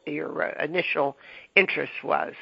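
A man hosting a casual interview, talking haltingly with many ums and restarts. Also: fairly high pitch, fairly even intonation, vocal fry, husky, dry recording. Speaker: podcast host. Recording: dull lo-fi dry recording.

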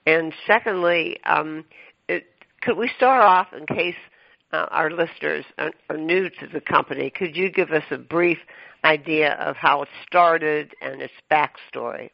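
A man hosts a radio interview in a somewhat high, gravelly voice. He stammers and restarts his questions and laughs often. The sound is dry and muffled. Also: unhurried, dry recording. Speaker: radio show host.

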